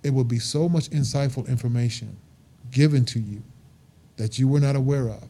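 Earnest man in his fifties, very dark voice. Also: medium pitch, brisk. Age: 50s. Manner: earnest, emphatic, repeats himself. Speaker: guest, truck driver. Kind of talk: casual interview talk.

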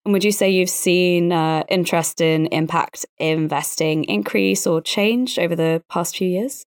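A woman speaking as a podcast host, measured and articulate, in a presenting and interviewing style. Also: on the low side, dry recording, studio quality. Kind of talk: scripted podcast narration and interviewing.

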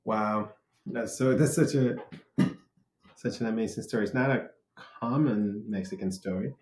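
A man's voice: lowish voice, normal speed, darkish tone. A man hosting a podcast, talking casually and telling stories with frequent restarts. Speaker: podcast host. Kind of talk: conversational podcast interview. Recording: roomy echo.

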